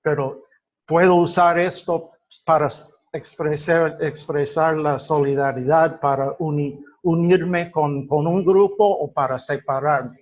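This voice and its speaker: A man gives an explanatory lecture, illustrating it with personal examples. His voice sits slightly high and he speaks very slowly and deliberately, halting between phrases. The recording is dull and lo-fi. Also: fairly even intonation. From United States. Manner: halting, deliberate, with drawn-out pauses. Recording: dull lo-fi sound.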